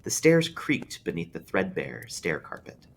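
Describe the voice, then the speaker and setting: A man reading an eerie story aloud as a librarian, in an expressive narrating style. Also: low voice, a little slow, vocal fry, slight background noise.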